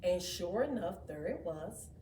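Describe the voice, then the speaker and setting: Woman doing a kids read-aloud, slow n super expressive, bit roomy and far from the mic. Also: lowish voice. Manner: expressive, dramatic, unhurried. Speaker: children's storybook reader. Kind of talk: animated read-aloud with asides to young listeners. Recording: roomy, distant mic, faint background noise.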